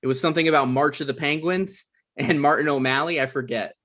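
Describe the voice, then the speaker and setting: A man's voice: on the high side, fairly even intonation, quick pace, fairly bright tone. Man playing a defensive, self-important office striver. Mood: scheming, defensive. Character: defensive, self-important male production coordinator, petty striver. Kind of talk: comedy table read.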